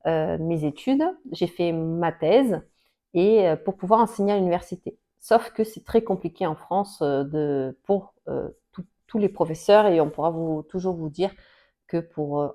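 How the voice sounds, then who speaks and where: A woman's voice: fairly low pitch, mellow. A woman from Portugal, a Portuguese teacher, talking about her path to the camera, with frequent hesitations, fillers and restarts. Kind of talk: personal talk to camera.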